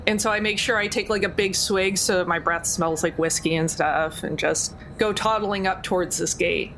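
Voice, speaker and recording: A woman's voice: fairly low pitch, steady tone, moderate pace, fairly bright tone. A woman playing in a tabletop game, talking casually and rambling with fillers. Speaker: player. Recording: noisy background.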